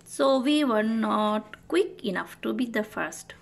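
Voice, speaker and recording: Expressive, slow-reading woman storyteller. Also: a little high, creaky, slight background noise. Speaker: children's picture book read-aloud host. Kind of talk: storybook read-aloud.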